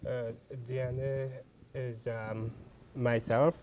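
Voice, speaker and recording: A man from Trinidad and Tobago, a steel pan player answering interview questions in a halting way, restarting and repeating words. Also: medium pitch, flat delivery, a little mellow, slight background noise. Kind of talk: interview guest.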